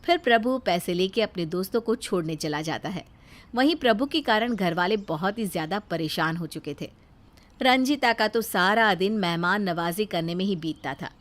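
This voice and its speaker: A woman's voice: medium pitch, expressive, normal speed. A woman reading a scripted family story as its narrator, in a storytelling style.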